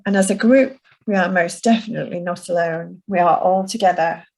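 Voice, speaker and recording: A woman guiding a group through a gentle self-soothing session, speaking softly and soothingly. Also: lowish voice, vocal fry. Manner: soft, slow and soothing. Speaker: relaxation instructor.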